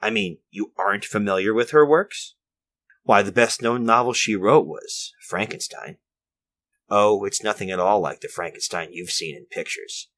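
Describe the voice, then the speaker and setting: Genteel, hesitant old inventor, low-voiced man acting. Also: moderate pace, a bit bright, lightly acted. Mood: musing, apologetic. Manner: hesitant, genteel, rambling, formal. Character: elderly, courteous infirm inventor of artificial limbs. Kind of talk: retro 1940s radio drama acting, monologue.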